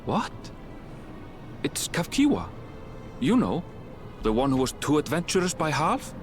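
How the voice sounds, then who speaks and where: A man's voice: on the high side, sing-song, measured pace. A man voicing an earnest young man anxiously searching for family, reading emotional video game dialogue. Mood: anxious, disbelieving. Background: game music.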